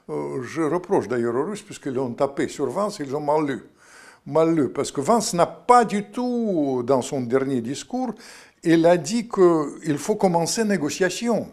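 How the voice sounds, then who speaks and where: Rambling older man, high-pitched. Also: a little mellow. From Russian-Ukrainian roots. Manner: rambling, many restarts and fillers. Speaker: guest, author. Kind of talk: interview.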